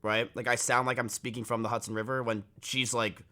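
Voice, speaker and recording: Young male streamer, New Jersey accent, deep and forceful voice, blunt joking delivery. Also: little pitch movement, brisk. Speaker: streamer reacting to a video. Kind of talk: casual live reaction commentary.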